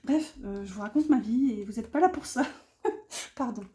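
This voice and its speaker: A woman with a very soft, dark-toned voice that sits a little high. She talks as a tarot reader guiding her viewers, improvising and feeling for her words, in a room with clear echo. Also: moderate pace. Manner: hesitant, searching for words, chatty asides. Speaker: tarot reader hosting an online guidance. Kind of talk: casual, improvised tarot reading addressed to viewers. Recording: roomy, echoey sound.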